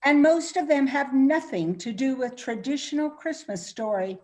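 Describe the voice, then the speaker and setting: A woman reading the part of a helpful, informative friend in an amateur radio play, explaining things deliberately. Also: high voice, animated, darkish tone. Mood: explanatory.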